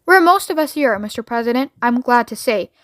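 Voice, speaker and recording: A woman's voice: fairly high pitch, animated, fairly quick, a bit bright, no room sound, clean studio sound. A woman doing a folksy male astronaut. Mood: shaken.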